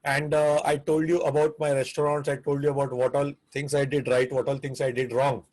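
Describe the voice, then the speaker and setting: A man's voice: medium pitch, level tone, fairly quick, darkish tone. A man with an Indian English accent, teaching a live online class on restaurant business, earnest and prone to repeating himself. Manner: earnest, repetitive, restarts phrases.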